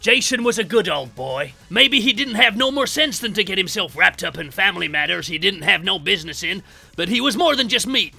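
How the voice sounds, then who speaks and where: A man's voice: high voice, sing-song, fairly quick, gravelly, pushed and bright, husky, soft background music, full character voice. A man voicing a grumbling, folksy killer cook from a horror comic, in an indignant drawl. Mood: earnest, protesting.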